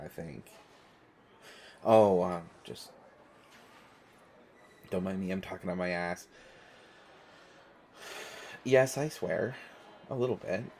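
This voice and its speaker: A man's voice: low voice, darkish tone, a little hiss or hum. A man playing a stressed, self-deprecating airport stranger in a conversational audio roleplay, talking in hesitant, rambling stammers.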